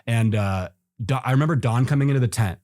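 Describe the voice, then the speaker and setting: A man's voice: mid-range voice, fast talker, darkish tone, dry recording. Laid-back guy rambling through a story on a podcast, lots of ums and restarts, dry close sound. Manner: easygoing, rambling with fillers and restarts. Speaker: podcast guest, mountaineer. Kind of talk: casual storytelling in an interview.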